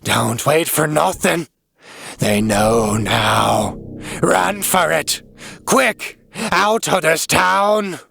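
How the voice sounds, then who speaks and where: A man's voice: fairly high pitch, extremely slow, vocal fry, fairly bright tone, slight background noise, voice pushed up, full character voice. A man voicing a crazed, frightened old man in an audiobook, ranting and muttering in frantic rustic dialect. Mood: terrified, urgent. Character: crazed, terrified old New England drunkard ranting of horrors.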